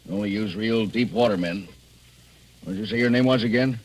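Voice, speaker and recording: An actor playing a plain-spoken, businesslike salvage ship captain in 1940s radio style. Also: male voice, fairly low pitch, brisk, close to an everyday voice, poor audio.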